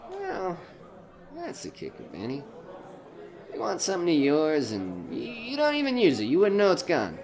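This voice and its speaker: A sly, cheerful devil's salesman acted out by a man works his mark in a retro horror radio play. His folksy patter wheedles and coaxes, with pitch leaping all over the place. A crowd murmurs clearly behind him. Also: wildly swooping pitch, moderate pace, darkish tone, exaggerated voice. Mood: sly coaxing. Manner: wheedling, colloquial patter with tacked-on questions. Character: folksy, smooth-talking devil's contract salesman, male, slyly cheerful. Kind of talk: retro horror audio drama acting.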